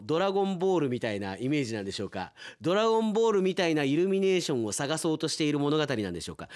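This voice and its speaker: Male radio host, very animated and swooping in pitch, chatty and wry, casual commentary on listener mail. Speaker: radio announcer hosting a radio drama segment.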